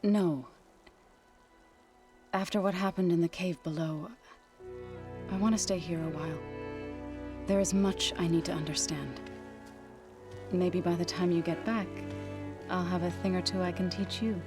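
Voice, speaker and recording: Low-voiced, thoughtful tribeswoman played by an actress. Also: steady tone, unhurried, pauses often, over music, lightly acted. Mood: thoughtful, concerned. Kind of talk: naturalistic video game cutscene acting.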